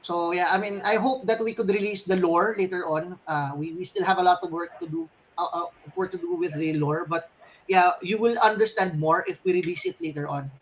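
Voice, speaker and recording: A man's voice: high-pitched, steady tone, brisk. A man speaking casually and hesitantly as a game developer answering questions in a live session. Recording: faintly noisy livestream audio.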